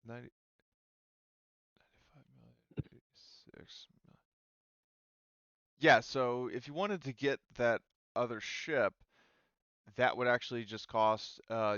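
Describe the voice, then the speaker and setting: Guy game master, clean smooth voice, kinda hesitant, dry slightly muffled audio. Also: medium pitch, steady tone, unhurried, pauses often, a bit bright, no room sound. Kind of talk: running an online tabletop roleplaying game. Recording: slightly dull, lo-fi voice-chat audio.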